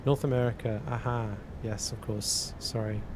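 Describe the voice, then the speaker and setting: A man chats on a live stream, thinking out loud and often repeating himself as he works through math problems. His voice sits at an ordinary middle pitch. A steady low rumble of noise runs under the recording. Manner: thinking aloud, halting, repeats words. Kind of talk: casual live-stream chat, reading chat and working math aloud. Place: cold indoor room.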